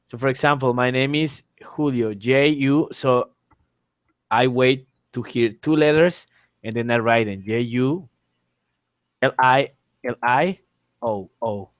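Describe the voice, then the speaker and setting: Male online tutor, mid-pitched voice, informal and explanatory, dry recording. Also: takes it slowly, pauses often, no room sound. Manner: explanatory, repeats phrases and spells letters aloud. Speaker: English teacher, exam tutor. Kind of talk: informal online exam lesson. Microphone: online call microphone.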